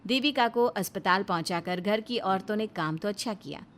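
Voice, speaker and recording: Casting: female cartoon-story narrator, medium pitch, scripted dramatic storytelling delivery. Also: moderate pace, a bit bright.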